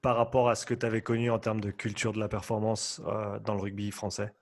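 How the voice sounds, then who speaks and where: Man, podcast host, low voice, brief murmurs, a bit distant from the mic. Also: monotone, brisk. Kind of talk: interview questions and backchannel.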